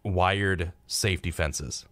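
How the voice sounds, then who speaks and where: Young man, American accent, lower-pitched voice, casual radio host, laid-back, close dry sound. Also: slow, fairly bright tone, dry recording. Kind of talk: casual conversational radio talk. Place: radio studio.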